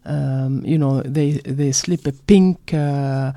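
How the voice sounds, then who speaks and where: Middle-aged woman with an Arabic accent, mellow, dry. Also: a bass voice, fairly even intonation, slow pace, dry recording. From Jordan (Amman). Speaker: author, interview guest. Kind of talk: personal storytelling in an interview. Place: broadcast studio.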